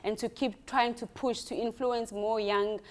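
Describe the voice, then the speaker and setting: A woman's voice: a little high, fairly even intonation, unhurried. A young South African woman doctor, telling her story in an interview and mixing isiZulu into her English. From South Africa, KwaZulu-Natal. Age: young adult. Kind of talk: casual interview chat.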